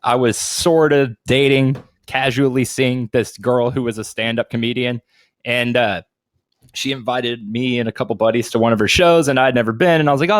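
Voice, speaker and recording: A man's voice: medium pitch, dry recording. A man co-hosting a podcast in casual, slangy, profanity-laced banter and stories. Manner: profane, slangy bro talk. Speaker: podcast co-host.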